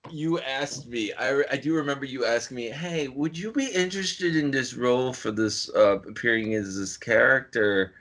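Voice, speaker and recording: A young man reminiscing over a video call, halting with fillers and chuckling. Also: medium pitch, a bit bright. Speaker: fan film creator. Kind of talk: casual chat on a video call.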